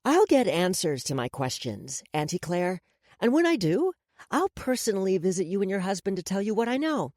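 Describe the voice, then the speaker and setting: A woman's voice: medium pitch, sing-song, moderate pace, a little mellow, no room sound, studio quality, voice pushed up. A woman playing a menacing, mocking, commanding woman in charge, as audiobook dialogue.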